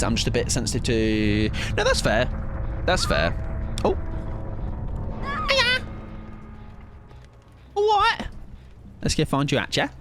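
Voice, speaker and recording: A young man chats his way through a live game stream in a relaxed, joking manner. His pitch leaps around constantly, squeaking up high when he gets excited. Faint game sounds hum underneath. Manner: chatty, playful, swings into high excited pitch. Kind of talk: casual live-stream chat while gaming.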